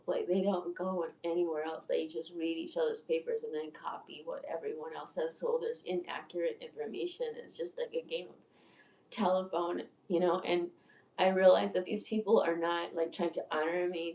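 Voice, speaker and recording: A woman's voice: on the low side, warm tone. A woman in her sixties rambling through a candid, profane monologue in a livestream, with stammering restarts. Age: 60s. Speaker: video creator. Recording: echoey room, distant from mic.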